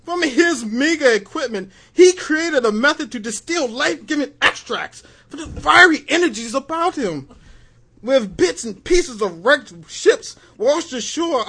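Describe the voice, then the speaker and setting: Man putting on a high-voiced, pompous comic-book villain. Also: lively intonation, unhurried, sharp tone, full character voice. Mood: dramatic recounting. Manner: boastful, theatrical declaiming. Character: pompous, grandiose immortal male comic-book villain bent on conquest. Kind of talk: amateur dramatic reading.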